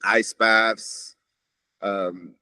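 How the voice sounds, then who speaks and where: Male guest, even monotone, dry close sound, reflective rambling. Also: lowish voice, drawn-out words, smooth, no echo. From Switzerland. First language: Swiss German. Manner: reflective, meandering, with many restarts. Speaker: podcast guest, musician. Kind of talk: casual conversation.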